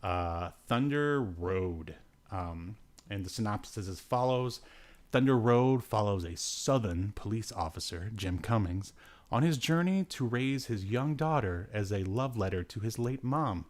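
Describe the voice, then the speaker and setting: Low-voiced man chatting casually about media. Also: animated, a little slow. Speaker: podcast host. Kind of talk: casual movie-review chat.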